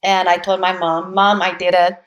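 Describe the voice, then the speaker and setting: A woman in her forties from Brazil, speaking English with a Brazilian Portuguese accent as a guest in an interview, telling her story with lively, laughing energy. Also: on the low side, moderate pace. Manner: animated storyteller, laughs often, acts out quoted voices. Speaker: guest, actress. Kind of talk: casual interview conversation.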